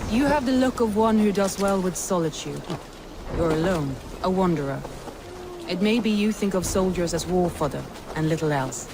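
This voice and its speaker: An actress voicing a proud, resolute Norse warlord in a video game cutscene, speaking in a measured, guarded way. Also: female voice, fairly low pitch, expressive, noisy background. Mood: earnest. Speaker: Norse lord of a city.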